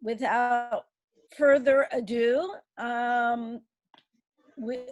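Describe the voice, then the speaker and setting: A woman with a high voice moderates an online panel in a measured, formal manner. Her sound is close and dry, with little room tone. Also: steady tone, no room sound. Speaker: webinar co-moderator. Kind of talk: reading a scripted introduction, then giving instructions. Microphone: webinar call.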